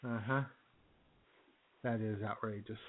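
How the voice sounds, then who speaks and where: A man's voice: on the low side, level tone, slow pace. A man co-hosting a comedic radio show, chatting with frequent laughs and mock outrage. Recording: phone-like radio audio.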